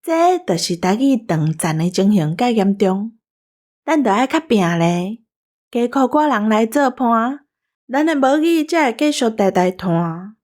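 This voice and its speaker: Lively, higher-voiced Taiwanese woman co-host. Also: unhurried. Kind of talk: scripted casual chat.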